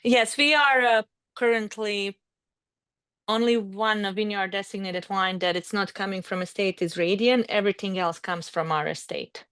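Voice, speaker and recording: A woman from Bosnia with a Bosnian accent, a winemaker guest speaking friendly, slightly halting English on a video call. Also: mid-range voice, steady tone, fairly bright tone, smooth, no echo.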